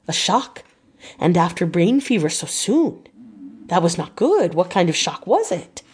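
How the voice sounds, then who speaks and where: A woman's voice: lowish voice, sing-song, measured pace, darkish tone, breathy edge, heavily acted. An old doctor from a gothic audiobook, acted out by a woman. He is grave yet effusive and kindly, stressing his words in formal, broken English. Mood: concerned.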